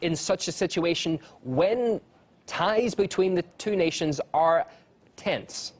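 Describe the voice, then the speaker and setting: A male news anchor interviewing a guest live, asking pointed questions in a brisk, conversational way. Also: high voice.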